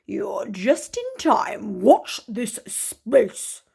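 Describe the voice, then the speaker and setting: A woman voicing a sly big male wolf in a storybook, drawling and booming. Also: animated, very slow, creaky, warm tone, husky, full character voice.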